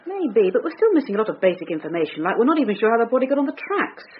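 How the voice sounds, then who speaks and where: An actress playing a persistent, sharp-witted British police detective, firm and probing, in a radio drama. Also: female voice, a little high, very animated, racing, highs cut off, slight background noise. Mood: thoughtful, doubtful. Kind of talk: scripted radio drama acting.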